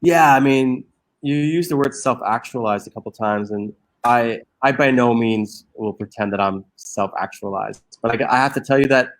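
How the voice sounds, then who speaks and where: A man's voice: medium pitch, moderate pace, low-quality recording. Earnest man with lots of restarts. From Egypt. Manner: earnest, many restarts and fillers. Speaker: guest, social entrepreneur. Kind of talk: reflective interview conversation.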